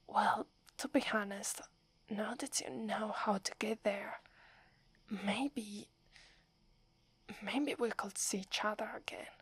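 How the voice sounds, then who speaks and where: Breathy whispering werewolf girl, a woman with an Italian accent. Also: mid-range voice, dry recording. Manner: soft whispering, stammers when flustered. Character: proud young werewolf woman, gruff but secretly fond. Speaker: ASMR roleplay performer. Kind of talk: whispered ASMR roleplay.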